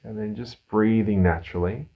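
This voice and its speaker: Low, mellow man guiding a meditation. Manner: calm, soothing, unhurried instruction with pauses. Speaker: mindfulness teacher leading a guided meditation. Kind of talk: guided meditation.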